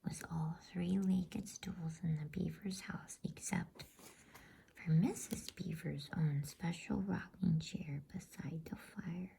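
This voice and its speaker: A woman storyteller reading a bedtime story in ASMR style with a soft, hushed whisper. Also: fairly low pitch, fairly even intonation, slow pace, vocal fry, slight background noise, rough recording. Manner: soft whispering, soothing hush.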